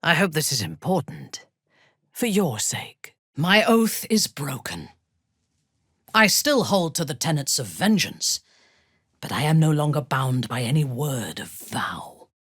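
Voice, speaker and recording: A woman playing a haughty, ruthless dark elf warrior in a fantasy video game, with an imperious, deliberate, formal delivery. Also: low voice, sing-song, breathy edge, dry recording, studio quality, full character voice. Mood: measured, grave.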